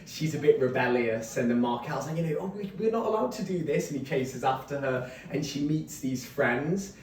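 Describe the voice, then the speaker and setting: A man giving an informal author talk, explaining his writing process in a rambling, animated way. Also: normal speed. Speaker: novelist discussing his book at a reading. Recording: roomy, distant mic, faint rumbling noise.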